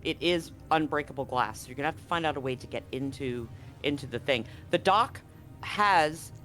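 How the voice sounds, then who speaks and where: A man's voice: a little high, fairly bright tone. A man narrating a live tabletop game as game master, conversational and explanatory. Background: background music.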